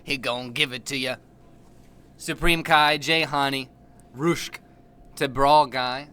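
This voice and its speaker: A man's voice: medium pitch, steady tone, slow, bright, forceful tone, clear, resonant tone. Playful young male esports caster. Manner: playful, hypes the chat. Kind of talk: casual play-by-play commentary. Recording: faint background noise.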